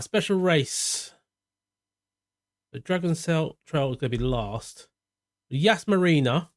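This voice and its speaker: A man from Portugal streaming a racing game live, shouting and crying out during close racing moments, then chatting calmly with viewers. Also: mid-range voice. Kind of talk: live gameplay commentary and chat reading.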